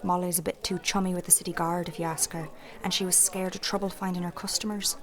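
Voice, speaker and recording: A woman's voice: lowish voice, flat delivery, quick pace, a crowd in the background, close to an everyday voice. Woman acting a shrewd, plain-spoken fantasy innkeeper. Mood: confiding, shrewd. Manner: plain-spoken, folksy, thoughtful. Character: shrewd, candid female innkeeper in a fantasy city. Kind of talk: fantasy audio drama acting.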